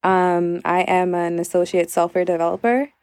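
A woman's voice: on the low side, completely flat delivery, moderate pace, a little mellow, no echo, studio quality. A woman in her thirties from Guyana, a podcast guest chatting in an easygoing, giggly way. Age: 30s. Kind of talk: casual chat.

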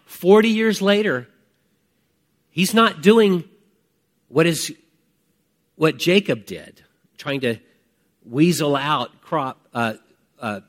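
A man in his fifties preaching a conversational sermon, reflective and emphatic, with deliberate pauses and repeated phrases. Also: fairly high pitch. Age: 50s. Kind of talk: conversational sermon with personal testimony.

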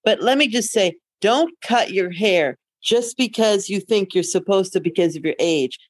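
A woman's voice: medium pitch, moderate pace, no echo, clean studio sound. A middle-aged woman co-hosting a podcast in casual conversation, blunt and wry, given to anecdotes and opinions. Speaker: podcast co-host.

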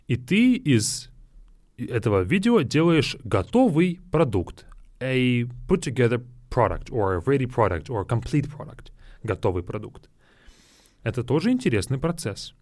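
Lively Russian man teaching slowly. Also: mid-range voice, dry recording, clean studio sound. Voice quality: speaks slowly and repeats words to explain them. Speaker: Russian teacher and podcast host. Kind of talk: slow teaching podcast, Russian with English glosses.

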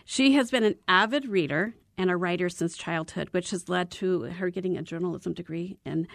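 A woman's voice: lowish voice, moderate pace, creaky, no echo, clean studio sound. A woman from Arizona co-hosting a radio talk show, bantering and laughing before introducing a guest. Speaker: radio co-host. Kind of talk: casual chat and interview.

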